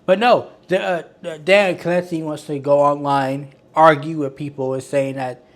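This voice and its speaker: A man hosts a casual online commentary, his voice sitting a little higher than most men's. He rambles and restarts his sentences, sounding exasperated and critical. His delivery has a fairly monotone quality. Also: a little slow. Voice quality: self-described monotone. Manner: rambling, with frequent restarts and filler words. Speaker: commentary channel host.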